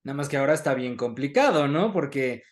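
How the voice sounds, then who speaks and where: A man's voice: mid-range voice, expressive, fast talker. A man hosting a conversational interview in a colloquial way, often adding tag questions. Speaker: interviewer, host.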